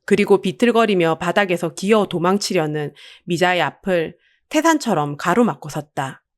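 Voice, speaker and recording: A woman's voice: lowish voice, animated, moderate pace. A woman reading a scripted dramatic story as an audiobook narrator.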